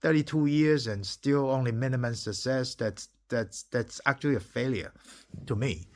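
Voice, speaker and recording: A man's voice: medium pitch, moderate pace. Emphatic Taiwanese-born man in his forties. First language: Taiwanese and Mandarin. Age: 40s. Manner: emphatic, repeats "okay?", swears. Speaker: entrepreneur vlogger. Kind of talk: impassioned monologue to camera.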